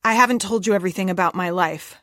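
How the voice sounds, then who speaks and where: A woman's voice: mid-range voice, quick pace, a bit bright, dry recording, studio quality, natural voice. A woman voicing a guarded, defensive young mother in a dramatic audiobook reading.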